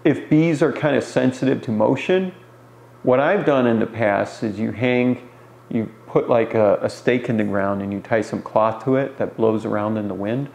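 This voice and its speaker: A man around forty gives an informal explanation to camera as a hobby teacher, pausing as he phrases things, recorded in a small bare room. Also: medium pitch, vocal fry, a little mellow. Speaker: beekeeper answering beginners' questions. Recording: roomy echo, faint background noise.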